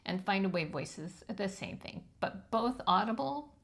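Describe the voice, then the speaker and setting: A woman over fifty with a high-pitched voice, giving frank, chatty advice on self-publishing with plenty of ums. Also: expressive, measured pace, creaky. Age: 50s or older. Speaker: channel host teaching self-publishing. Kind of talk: casual instructional talk to camera.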